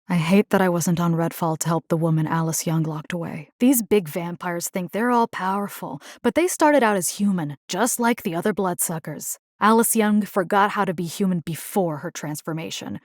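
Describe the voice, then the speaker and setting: A resolute young vampire fighter from a video game, acted by a woman, with a lowish voice in a dry, close recording. She is earnest and caring, speaking plainly and conversationally with determination and a wry edge. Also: moderate pace, no room sound, lightly acted. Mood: earnest, determined.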